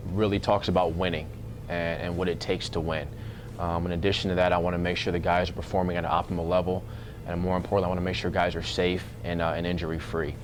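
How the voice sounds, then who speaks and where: A man in his thirties, a basketball coach, speaking in a measured, earnest way in an informal interview. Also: deep voice, noisy background. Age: 30s.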